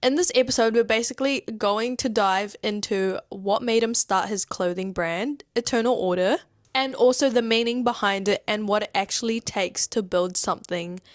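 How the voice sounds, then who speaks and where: A woman's voice: mid-range voice, normal speed, dry recording, clean studio sound. A woman in her twenties with a New Zealand accent, hosting a podcast in an upbeat, chatty way. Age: 20s. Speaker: podcast host. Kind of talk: casual chat.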